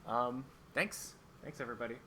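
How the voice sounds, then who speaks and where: A man's voice: medium pitch, moderate pace, bright, forceful tone, a little hiss or hum. A man commentating on a speedrun in an easygoing, encouraging way. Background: music.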